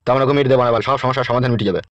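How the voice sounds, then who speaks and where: Cartoon politician, male actor, crude smooth talker, quick delivery, close dry sound, West Bengal Bengali accent. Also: medium pitch, steady tone, no room sound, muffled recording, close to an everyday voice. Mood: bossy, crude. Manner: crude, brash, smooth-talking. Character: scheming, lecherous, bossy male politician. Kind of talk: comedy cartoon voice acting.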